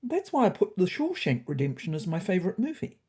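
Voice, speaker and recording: Lady doing a posh-minded fussy tomcat, pompous n pleased with himself, mellow n a bit husky, comedy storybook. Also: lowish voice, very animated, brisk. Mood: emphatic, smug. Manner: pompous, self-satisfied. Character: pompous, fussy male choir-singing pet cat with sophisticated pretensions. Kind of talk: comic audio storybook.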